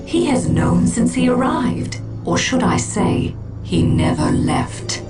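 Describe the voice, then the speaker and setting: A proud alien prophetess of a video game speaks slowly and with solemn weight. Her words come measured and cryptic, full of command and foreboding. Loud cinematic music swells behind her. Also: on the low side. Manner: grave, portentous, measured. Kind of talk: dramatic video game cutscene acting.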